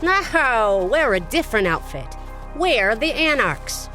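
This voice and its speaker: A woman acting as a brash Nosferatu vampire in an audio drama. Her highish voice swings around freely as she talks bluntly in street slang, with faint music behind her. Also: big pitch swings, takes it slowly, pushed and bright, heavily acted. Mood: wry, explanatory. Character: Grace, twisted-faced female Nosferatu vampire, brash, streetwise.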